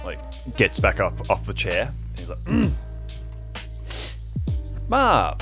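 A man's voice: low voice, normal speed, over music. A man playing in an improvised audio drama, casually narrating his character's actions.

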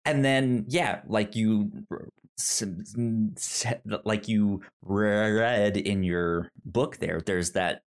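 Slow, halting man with a stammer. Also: on the low side, fairly even intonation. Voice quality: stammer, repeating sounds and words. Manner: halting, rambling. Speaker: podcast co-host reviewing films. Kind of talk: casual movie discussion.

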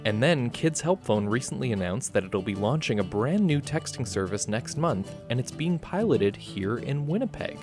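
A man's voice: medium pitch, very animated, moderate pace, few pauses, music in the background. A man co-hosting a radio show, upbeat and chatty, with lots of filler uhs. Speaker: radio co-host. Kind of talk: casual radio chat. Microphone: studio microphone.

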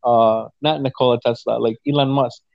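A man's voice: medium pitch, steady tone, moderate pace, vocal fry, no room sound, dull audio. A man in his twenties talking casually and emphatically, with lots of swearing and repeated "you know what I'm saying.". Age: 20s. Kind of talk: casual conversation.

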